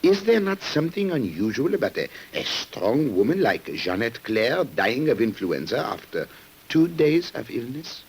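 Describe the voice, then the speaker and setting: An actor playing a grave, determined police commissioner in a formal, dramatic radio play style. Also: male voice, mid-range voice, very animated, husky. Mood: troubled, resolute. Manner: formal, deliberate, dramatic delivery. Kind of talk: 1950s radio drama acting. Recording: muffled-edged vintage radio drama recording.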